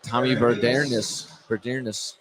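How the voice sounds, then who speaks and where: A man doing live play-by-play basketball commentary, halting at times and correcting himself mid-call. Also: medium pitch, level tone, a bit bright, slight background noise, low-quality recording. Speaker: play-by-play announcer. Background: gym ambience with bouncing basketballs.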